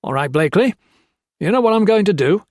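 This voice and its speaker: A man's voice: fairly high pitch, very animated, normal speed, darkish tone, no echo, studio quality, pitched above the performer's own voice. A man voicing a stern, relentless army lieutenant who presses his questions firmly and coldly. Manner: firm, relentless, cold.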